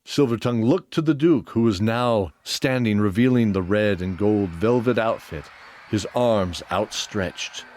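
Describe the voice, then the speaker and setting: A man's voice: lowish voice, measured pace, a little mellow, slight background noise. A man giving scripted narration of a fantasy story as its narrator.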